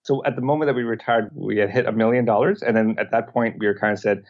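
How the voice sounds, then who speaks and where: A man in his thirties from Canada talks quickly and plainly, his voice sitting low. The audio is dull and lo-fi, like a remote connection. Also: fairly even intonation. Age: 30s. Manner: quick, matter-of-fact explaining. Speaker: guest. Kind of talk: casual interview talk.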